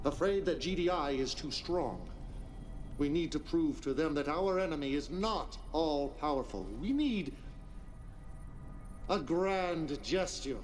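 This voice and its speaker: A man playing a charismatic, menacing cult leader in a video game cutscene, speaking in deliberate, commanding oratory with theatrical pauses. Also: fairly high pitch, lively intonation, far from the mic, faint music behind. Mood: measured, persuasive.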